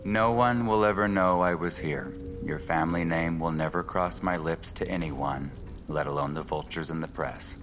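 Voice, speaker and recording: A man acts out a sharp, defiant appraiser of rarities in an audio drama. The voice is low, wry and plain-spoken. It sits in a long reverb with music clearly underneath. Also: normal speed, natural voice. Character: blunt, sardonic male antiquities appraiser, sharp and defiant.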